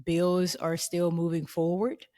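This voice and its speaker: A woman's voice: fairly low pitch, level tone, measured pace, dark tone. A woman in her thirties hosting a faith podcast, talking in an earnest, reflective way. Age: 30s. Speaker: podcast host.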